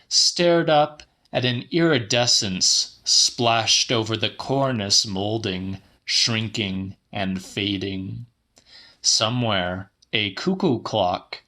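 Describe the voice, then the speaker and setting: A man reading literary fiction as an audiobook narrator, slightly dramatic, with deliberate, measured phrasing. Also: on the low side, bright, forceful tone. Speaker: audiobook reader.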